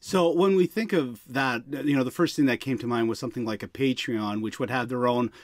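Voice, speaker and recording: A man hosts a podcast in a conversational interviewing style. His voice sits at a medium pitch, and the sound is very dry, with no room around it. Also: a little fast, dry recording. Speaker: podcast host.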